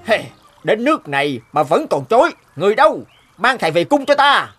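A man's voice: sits high, very animated, a little fast, a bit bright. A man voicing a formal, insistent royal envoy in a cartoon folk tale. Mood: commanding. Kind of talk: animated folk tale voice acting. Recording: music bed behind the voice.

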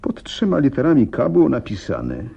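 A troubled, animated young gentleman. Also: mid-range voice, normal speed, creaky, slightly rough, muffled recording, exaggerated voice. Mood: anxious, narrating. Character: frightened, helpless young gentleman recounting family deaths. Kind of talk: radio drama acting.

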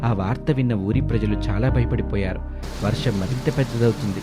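A man's voice: lowish voice, a little fast. A man narrating a scripted moral story as a storyteller. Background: background music.

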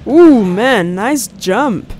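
A woman's voice: fairly high pitch, animated, very slow, a little mellow. A young woman giving casual, exclamatory gameplay commentary with swearing into a close studio mic. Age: young adult. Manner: reactive, exclamatory, profanity-laced. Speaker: gaming video host. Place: indoors at a home recording setup. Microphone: close studio condenser mic. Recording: clear game ambience noise behind voice. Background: horror game ambience.

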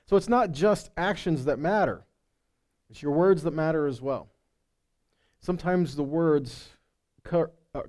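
A middle-aged male preacher with a fairly high-pitched, mellow voice teaches in a conversational sermon style. He moves between reading scripture and plain commentary, checking in with short rhetorical questions. He speaks into a headset mic. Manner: conversational teaching with rhetorical questions and tag words like okay and right. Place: indoor stage of a meeting room.

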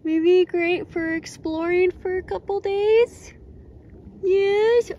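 A woman's voice: very high voice, slow, mellow, lo-fi sound, slight background noise. A young woman in her twenties, vlogging with a phone at arm's length outdoors, chatty and enthusiastic. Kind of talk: casual vlog narration. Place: outdoors in desert and in a shop.

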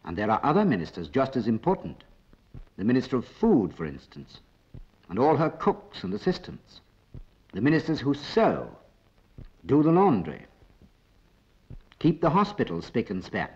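A British man gives a measured, theatrical narration for a 1940s information film. His intonation is lively and varied, and the recording sounds dull and old. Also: medium pitch, slightly rough.

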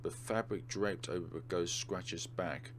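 A man's voice: low voice, unhurried, slight background noise. A man hosting a let's play, reading a visual novel aloud dramatically with casual commentary. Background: game music.

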